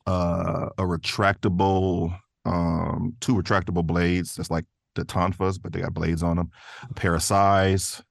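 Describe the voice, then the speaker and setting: A man's voice: sits low, flat delivery, measured pace, gravelly, no echo, studio quality. A man chatting casually as a podcast guest, easygoing and thinking aloud as he goes. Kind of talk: casual chat.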